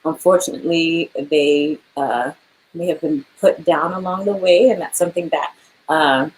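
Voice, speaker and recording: A woman's voice: sits low, moderate pace, a little mellow, slight background noise, low-quality recording. A young woman, an actor, chatting casually in an interview, laughing often and filling her speech with "like" and "um".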